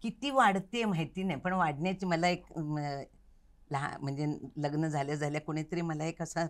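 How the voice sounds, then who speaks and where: A woman's voice: low voice, normal speed. An older woman, talking reflectively as an interview guest in an earnest, instructive manner, stressing her key points. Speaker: interview guest, Kathak dance teacher. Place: recording studio.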